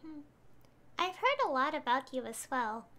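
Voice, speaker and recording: A woman's voice: high-pitched, very animated, moderate pace, bright, forceful tone. A woman streaming a game, casually reading her character's lines aloud with fillers.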